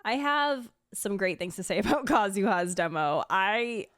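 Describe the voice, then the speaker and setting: A woman giving casual, gushing reaction commentary in a conversational, expressive way. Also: medium pitch, a little slow, no echo. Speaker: streamer ranking game character demos. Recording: music playing behind the voice. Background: background music.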